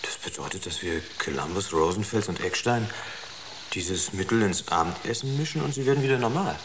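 Low-voiced, sober crewman. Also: lively intonation, fairly quick. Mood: questioning. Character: sober, resolute male crewman questioning a colleague. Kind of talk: German radio drama acting. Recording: clearly noisy ambience behind the voice.

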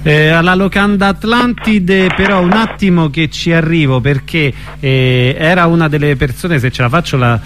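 A man hosting a radio show, chatting and interviewing in an informal, halting way. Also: on the high side, noisy background. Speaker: radio host. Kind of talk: casual radio chat and interview.

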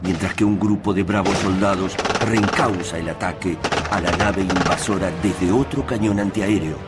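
A man's voice: deep voice, steady tone, normal speed, lightly acted. A man delivering solemn, literary narration for a radio drama. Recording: loud music and gunfire behind.

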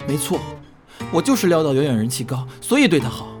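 Cocky, animated young male idol. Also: fairly high pitch, fast, big-room sound, over music. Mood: smug, defiant. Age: young adult. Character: cocky, self-mocking young male idol group member. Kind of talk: audio drama acting.

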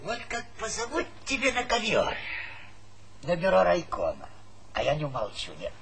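A humourless village alarmist who talks in pompous officialese. His voice is high and gravelly with huge swings in pitch, in an old stage comedy recording with faint noise. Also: wildly swooping pitch, normal speed, a bit bright, full character voice. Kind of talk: Soviet stage comedy acting. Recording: noisy old theatre recording.

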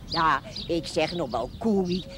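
An actress playing a chatty, plain-spoken old spinster smallholder in a television period drama, folksy and wry. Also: female voice, deep voice, slow pace. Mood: cheerful, teasing. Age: older. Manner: folksy, blunt, wry, talkative. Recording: noisy old TV soundtrack with farmyard birds.

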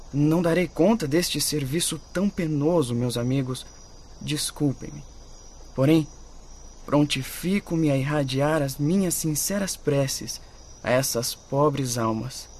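A man's voice: a little high, breathy edge. An actor playing a timid, apologetic, devout man in a radio drama, hesitant and formal.

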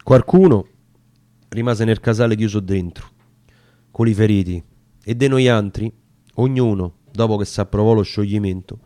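A man's voice: fairly low pitch, moderate pace, long pauses, warm tone, dry recording. A man with a Roman accent giving a solemn, theatrical recitation of narrative dialect poetry. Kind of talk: dramatic recitation of narrative verse.

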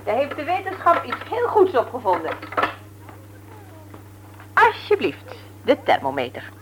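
An actress in a Dutch radio play, playing a brisk, teasing, no-nonsense nurse married to a man she likes to mock. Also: sits high, wildly swooping pitch, exaggerated voice. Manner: brisk, mocking, matter-of-fact. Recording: noisy old radio recording with hum.

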